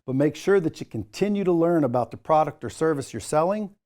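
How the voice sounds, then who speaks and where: A man's voice: on the high side, lively intonation, fairly quick, darkish tone. A man presenting a training lesson as an instructor, speaking directly to the learner in an emphatic, coaching way with informal asides. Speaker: sales trainer, course instructor.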